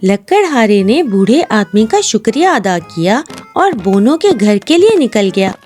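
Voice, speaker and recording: A woman's voice: on the high side, very animated, unhurried, darkish tone. A woman reading scripted storybook narration as the narrator, with music behind her.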